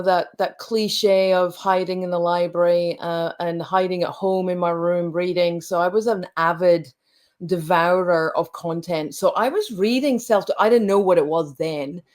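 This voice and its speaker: A woman in her late forties from Scotland, a coach speaking reflectively as an interview guest, with frequent restarts. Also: on the low side. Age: late 40s. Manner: reflective, halting, many restarts. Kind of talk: conversational interview.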